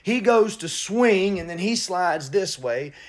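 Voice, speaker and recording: A man in his thirties from New Orleans, casually telling a story to a phone held at arm's length in a small bedroom, acting out the moves with drawn-out emphasis. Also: high voice, expressive. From New Orleans, Louisiana. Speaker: rapper vlogger.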